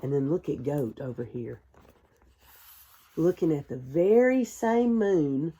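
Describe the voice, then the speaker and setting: A woman, a kindergarten teacher, reading a storybook aloud to young children in a gentle, expressive way. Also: low-pitched, husky. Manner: gentle, hushed, expressive storytelling with deliberate pauses; addresses young listeners with simple questions.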